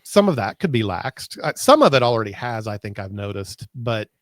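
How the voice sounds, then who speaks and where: A man's voice: lowish voice, very animated, normal speed, a bit bright, no room sound, studio quality. A middle-aged American man co-hosting a podcast in casual conversation. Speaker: podcast co-host. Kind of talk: casual chat.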